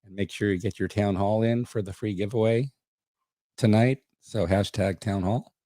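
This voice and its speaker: A man with a very low, mellow voice opens a live online show. He is losing his voice, so it sounds hoarse and strained. He keeps it slow and steady, close and dry. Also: no echo. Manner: slow and steady, with some restarts. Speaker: livestream host. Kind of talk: casual live stream introduction.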